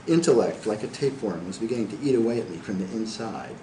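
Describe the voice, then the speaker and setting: A man's voice: on the low side, expressive, fast, dark tone. A man reading first-person literary fiction aloud as an author, with dialogue. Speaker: author reading own work. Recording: distant mic, echoey room, faint noise.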